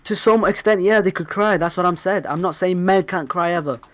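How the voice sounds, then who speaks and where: A man with a blocked nose argues back defensively in an online debate, sniffling between stubborn points. His voice sits a little high and sounds close and dry, with no room echo. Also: brisk, fairly bright tone. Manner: defensive, argumentative, repeats "man". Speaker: debate guest.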